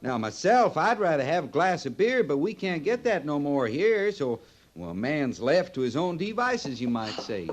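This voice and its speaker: Folksy frontier army sergeant, highish voice. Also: male voice, sing-song, normal speed, slight background noise. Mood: innocently sly. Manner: folksy drawling backwoods talk, deferential. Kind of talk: old time radio western drama acting.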